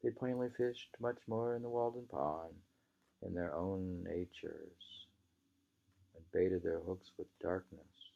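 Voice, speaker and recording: An American man reading a literary book aloud in an unhurried, musing manner, often pausing to repeat or mull over phrases. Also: sits low, fairly even intonation, creaky, mellow, dull audio. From New England, United States. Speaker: reader.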